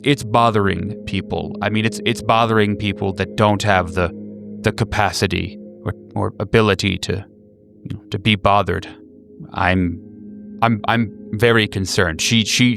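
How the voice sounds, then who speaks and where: Man playing a stammering, anxious caller with gravelly voice. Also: lowish voice, fairly bright tone. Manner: halting, stammering, hesitant. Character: stammering, anxious man leaving a concerned phone message.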